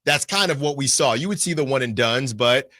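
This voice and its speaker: Man in his 40s, sports radio host, bright tone, dry studio sound, rambling and animated delivery. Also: mid-range voice, a little fast, dry recording. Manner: animated, rambling, lots of restarts. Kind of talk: sports talk radio discussion.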